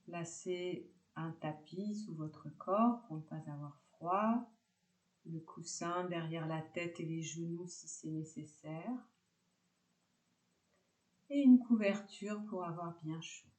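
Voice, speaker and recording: A woman teaching yoga nidra, guiding a relaxation meditation calmly in short, separated phrases. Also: lowish voice, slow, echoey room.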